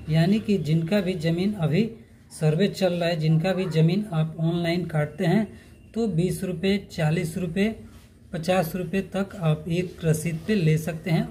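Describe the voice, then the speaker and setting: A man's voice: on the high side, dark tone, a little hiss or hum, low-quality recording. A man presenting an informal step-by-step tutorial, instructing listeners in a friendly-address style. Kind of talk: informal explanatory tutorial narration.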